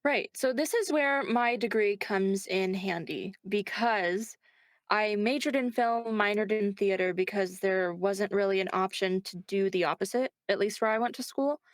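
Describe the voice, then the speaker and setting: A woman's voice: mid-range voice, normal speed, dry recording. A woman voice actor being interviewed, talking conversationally and reflectively, often breaking off to correct herself. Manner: chatty, self-interrupting, reflective.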